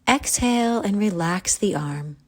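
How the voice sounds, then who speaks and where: A woman guiding a yoga nidra relaxation, giving calm, gentle, unhurried instructions with pauses. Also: medium pitch. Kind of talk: guided relaxation meditation.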